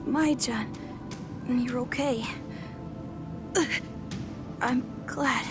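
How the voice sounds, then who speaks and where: A woman's voice: fairly high pitch, fairly even intonation, very slow, over music. A woman playing a defiant, noble young boy samurai god, earnest and dramatic, in an audio drama. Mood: weak, relieved.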